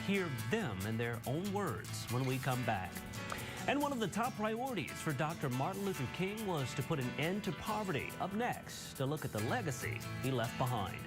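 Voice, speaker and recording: An American man reads scripted morning news as a studio anchor. His voice sits high for a male and moves in animated swings, with music playing behind him.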